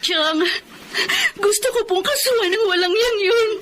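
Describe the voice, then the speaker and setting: An actress playing a wronged, defiant young daughter in a Filipino radio drama, tearful and shouting, with a Filipino accent. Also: female voice, very high voice, unhurried, a little hiss or hum. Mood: tearful pleading. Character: Jonah, wronged angry young daughter demanding justice. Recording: loud music bed behind the voice.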